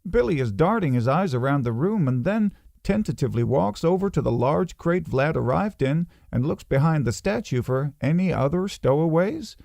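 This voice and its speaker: A man's voice: fairly high pitch, animated, moderate pace, gravelly, darkish tone, no room sound, clean studio sound. A man reading comic scripted narration as a storyteller, with wry, sardonic asides.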